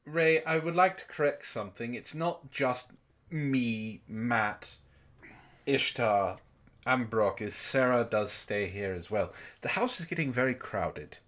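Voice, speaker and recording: A man's voice: medium pitch, lively intonation, unhurried, fairly bright tone. A man bantering in a comedic book club chat, theatrical and mock-pompous, easily worked up. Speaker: book club co-host and reader.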